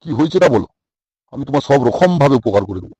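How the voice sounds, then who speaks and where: A man's voice: medium pitch, sing-song, rapid-fire, dark tone, husky, no echo, poor audio. A man voicing a genial, obliging boss in a comic cartoon, oily and repetitive.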